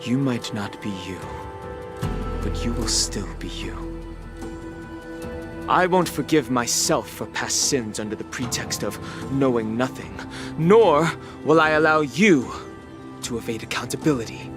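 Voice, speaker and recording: An actor playing a stern, righteous spear-wielding wanderer in a video game, with a grave, formal, measured delivery. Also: male voice, mid-range voice, lively intonation, close to an everyday voice. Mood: grave, resolute. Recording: game music behind the voice.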